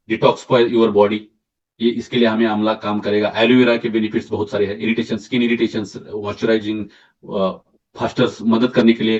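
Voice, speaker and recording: A man with a slightly hoarse throat leads a product training session. He explains point by point, stumbles and restarts words, and often asks "right?" to check on his listeners. The recording sounds distant and dull, like a mic set far from him. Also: lowish voice, a little mellow. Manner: mixes English terms into Hindi, halting restarts. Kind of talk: sales training presentation.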